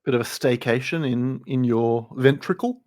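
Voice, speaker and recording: A man's voice: mid-range voice, moderate pace, darkish tone, no echo. A man with an Australian accent co-hosting a podcast, talking casually with wry jokes. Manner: wry, joking. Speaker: podcast co-host. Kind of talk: casual chat.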